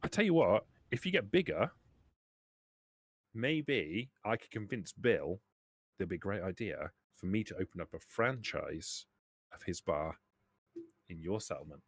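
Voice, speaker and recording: A man playing an excitable, defensive businessman trader in an improvised tabletop roleplaying game. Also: low voice, a little slow, pauses often, breathy edge, pitched below the performer's own voice, full character voice. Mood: friendly, hesitant.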